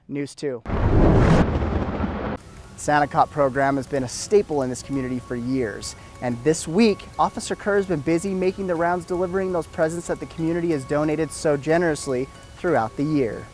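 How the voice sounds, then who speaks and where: A man's voice: on the high side, a little mellow. A man with an American accent giving scripted television news reports.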